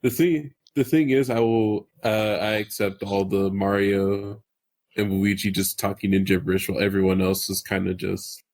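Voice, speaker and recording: A man's voice: on the low side, fairly even intonation, moderate pace, darkish tone. A man on a podcast panel, chatting casually among friends.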